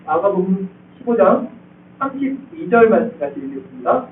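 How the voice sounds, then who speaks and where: A man's voice: high-pitched, big pitch swings, moderate pace. A male pastor preaching a conversational expository sermon, often asking the congregation questions. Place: church sanctuary. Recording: echoey hall, distant tinny sound.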